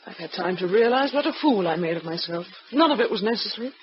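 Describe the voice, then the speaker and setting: A woman's voice: mid-range voice, animated, fast, close to an everyday voice. A scheming widow, played by a woman, speaks with earnest, self-dramatizing devotion. It is 1940s radio drama, muffled with faint hiss. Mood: confessional. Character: scheming widow feigning devotion to her murdered husband. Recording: muffled, noisy vintage radio recording.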